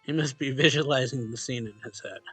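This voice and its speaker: Bright-voiced man reading a visual novel aloud. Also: medium pitch, brisk, slightly rough, no room sound, faint music behind. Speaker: let's play host.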